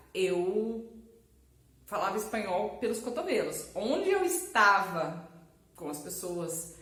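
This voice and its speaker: The voice of a Brazilian woman vlogger sharing her own story with followers from a bare, echoing room, chatty and expressive. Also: fairly high pitch. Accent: Brazilian Portuguese. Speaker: language teacher and vlogger. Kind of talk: casual personal storytelling to camera. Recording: echoey, roomy sound.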